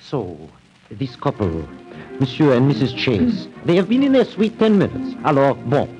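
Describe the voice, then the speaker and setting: An actor playing a fussy, excitable French hotel manager with a French accent and broken English in a radio play. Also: male voice, medium pitch, moderate pace, soft background music, low-quality recording. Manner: theatrical, exclamatory, broken English.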